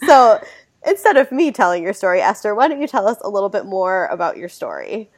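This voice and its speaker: Slightly hoarse woman hosting a podcast. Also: mid-range voice, sing-song, fairly quick. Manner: friendly, upbeat greetings with fillers. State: hoarse from a cold. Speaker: podcast host. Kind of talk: casual interview.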